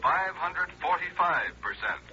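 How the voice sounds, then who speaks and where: Male, piercing bright tone, fairly high, clipped report delivery, muffled old radio. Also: expressive, unhurried. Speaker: commercial announcer. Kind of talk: crisp scripted report in radio ad.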